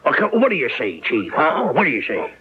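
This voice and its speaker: An actor playing a folksy, blustering American army colonel and swindler who stammers and wheedles in an old-time radio drama. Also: male voice, fairly high pitch, huge pitch swings, a little fast, very noisy. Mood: flustered, wheedling. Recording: dull lo-fi radio drama recording.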